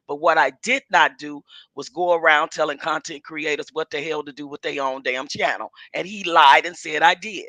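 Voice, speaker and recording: A woman with a cold and a hoarse voice, speaking emphatically and indignantly as a guest on a casual livestream panel over a video call. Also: low-pitched, normal speed, pushed and bright, no room sound.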